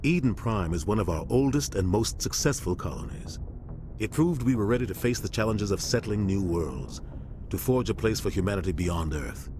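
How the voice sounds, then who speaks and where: An actor playing a commanding middle-aged starship captain in a video game, with a measured, authoritative military delivery. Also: male voice, fairly low pitch, expressive, background noise, close to an everyday voice. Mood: earnest, explaining. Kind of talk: video game dialogue acting.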